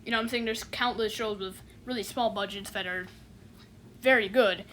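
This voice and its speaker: A woman's voice: mid-range voice, fairly even intonation, normal speed, fairly bright tone, slight background noise. Rambling, unimpressed woman reviewer. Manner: rambling, heavy fillers, repeats "you know what I'm saying"; dismissive, unimpressed. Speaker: online reviewer. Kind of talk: casual spoken review.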